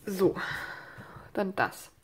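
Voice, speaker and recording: A woman with a stuffy nose from a cold, chatting casually through a crafting tutorial at her desk, rambling with many fillers and asides. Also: drawn-out words. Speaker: craft channel host. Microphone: overhead camera filming hands on desk.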